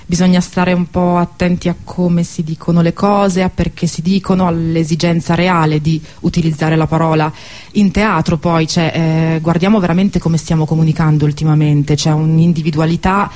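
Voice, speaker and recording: A woman's voice: lowish voice, little pitch movement, moderate pace, a little mellow. A woman speaking as a guest in a radio interview, reflective and somewhat hesitant as she works out her thoughts. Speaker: stage actress, guest. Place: radio studio.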